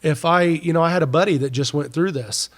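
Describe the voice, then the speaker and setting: A man's voice: a little high, fairly quick. Congested man chatting, rambling and folksy. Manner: rambling, self-interrupting, folksy slang. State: congested from recent illness. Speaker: podcast co-host. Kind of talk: casual chat.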